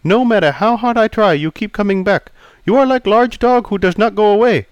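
Male reader as grumpy veteran barber, blunt broken English, lively gravelly voice, dry sound. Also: medium pitch, measured pace, no room sound. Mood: gruff. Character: crusty older male barber, gruff and prickly.

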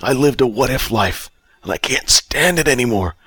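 A man acts out a destitute old drunk from an audiobook. The voice rides high and swings around, bitter and exasperated, with an agitated, pleading edge. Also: expressive, moderate pace, fairly bright tone, slightly rough, dry recording. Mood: bitter, weary. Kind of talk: acted audiobook dialogue.

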